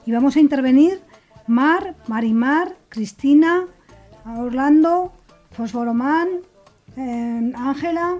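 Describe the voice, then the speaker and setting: Woman radio presenter, mellow and slightly high voice, light music underneath. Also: takes it slowly. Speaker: radio programme host. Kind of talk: radio introduction and group discussion. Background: music behind the voice.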